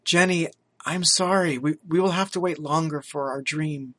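An earnest young gentleman from a storybook, polite and given to small stammers, as a man reads him. The voice lifts a little higher than plain speech and stays bright and forceful. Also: moderate pace. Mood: apologetic.

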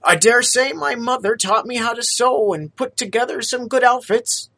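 A man voicing a high, eager young street vendor. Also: moderate pace, bright, forceful tone, full character voice. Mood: eager, humble. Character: cheerful poor young male street vendor, humble.

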